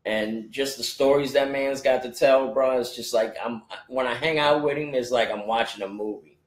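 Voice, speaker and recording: A man's voice: medium pitch, steady tone, normal speed, reverberant, rough recording. A man in his forties in a casual podcast chat, rambling with many restarts and fillers. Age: 40s. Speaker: podcast co-host, rapper.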